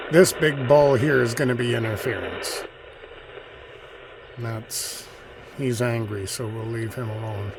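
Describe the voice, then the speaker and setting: A man giving casual, unhurried, musing commentary as he demonstrates radio listening as a hobbyist host. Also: mid-range voice. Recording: clear radio static and noise. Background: shortwave radio hiss and chatter.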